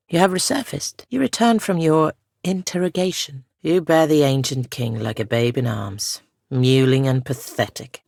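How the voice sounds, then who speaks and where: Soft, gravelly, low-voiced spirit guardian. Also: female voice, no room sound, studio quality, heavily acted. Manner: measured, solemn, formal phrasing. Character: solemn, ancient female spirit guardian of a frozen shattered realm. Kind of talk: scripted fantasy game dialogue.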